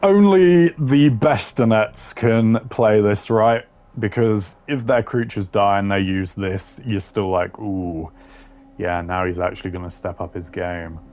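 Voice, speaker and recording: Low-voiced man giving blunt casual commentary. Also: measured pace, a little mellow. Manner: blunt, slangy, opinionated. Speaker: commentator ranking game voice lines. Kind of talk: casual tier-list commentary.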